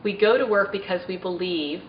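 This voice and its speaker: A forty-year-old American woman giving prepared testimony as a small business owner, in an earnest, deliberate, formal manner. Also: medium pitch. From United States (Maryland), partly raised in Taiwan and China. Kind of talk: prepared advocacy testimony speech. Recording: roomy, distant mic, dull sound, faint noise.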